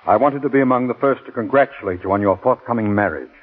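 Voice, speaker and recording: A man's voice: mid-range voice, fast, husky, phone-quality sound, natural voice. An American actor playing a proud, bitter politician jilted by his fiancée, speaking stiffly and formally in 1940s radio style. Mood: stiff, curt. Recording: thin, band-limited 1940s radio recording.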